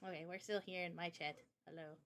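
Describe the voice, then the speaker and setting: A woman's voice: lowish voice, fairly even intonation, fast talker, a bit bright. A woman streamer and game developer chatting casually with her audience in an informal, slangy way. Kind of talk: casual livestream chat.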